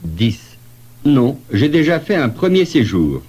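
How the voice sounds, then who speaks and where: A man playing a polite traveller in a scripted language-lesson dialogue, giving deliberate answers. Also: mid-range voice, very animated, a little mellow, husky, full character voice. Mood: polite, matter-of-fact.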